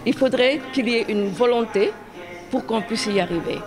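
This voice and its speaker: Lady giving an interview, stops and restarts a lot, music behind her. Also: on the high side. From Senegal. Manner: halting, often repeating words. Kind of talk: spoken interview.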